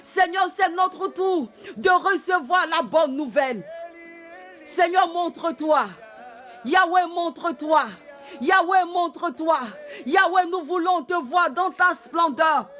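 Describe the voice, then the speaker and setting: Fervent male prayer leader, very high bright voice, slow deliberate delivery, soft music under. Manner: fervent, repetitive, often shouted. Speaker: pastor. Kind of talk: prayer and worship exhortation.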